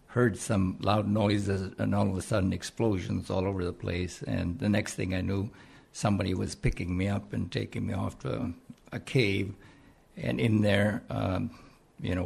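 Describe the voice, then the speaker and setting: A man in his seventies from the Philippines, with a Philippine accent, speaking haltingly as an interview guest. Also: lowish voice, creaky, a little mellow, slightly rough. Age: 70s. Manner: hesitant, halting with many pauses. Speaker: interview guest, retired army colonel. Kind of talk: conversational interview.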